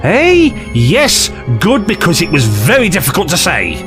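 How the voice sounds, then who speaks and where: High, swooping, flippant trickster played by a man. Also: huge pitch swings, a little slow, over music, full character voice. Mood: smug, indignant. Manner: flippant, theatrical, chatty, condescending. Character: glib, eccentric, cheeky alien time-travelling trickster. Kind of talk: audio drama acting.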